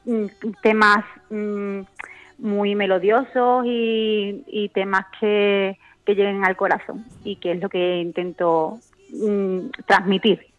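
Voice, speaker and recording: A woman singer with an Andalusian accent, chatting as a guest on a radio interview, pausing often with fillers and restarts. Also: mid-range voice, level tone, narrow, tinny audio, soft background music. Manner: hesitant, many fillers and restarts. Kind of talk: casual phone-in interview chat.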